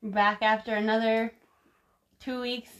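A woman's voice: a little high, little pitch movement, a little slow, a bit bright, muffled recording. A woman in her thirties with a slightly stuffy voice, co-hosting a podcast in loose, unscripted conversation peppered with "like". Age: 30s. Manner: frequent "like" filler. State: slightly congested. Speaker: podcast co-host. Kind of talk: casual unscripted chat.

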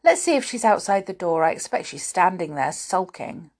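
A woman reading aloud voices a young girl who feels responsible for the others, pitched up from her narrating voice. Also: big pitch swings, normal speed, a little mellow. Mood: cheerful.

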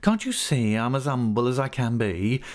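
A man's voice: mid-range voice, big pitch swings, normal speed, pitched above the performer's own voice, close to an everyday voice. A man voicing a sulky, falsely humble schemer in a story, whining and insinuating with veiled threat. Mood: warning, insinuating.

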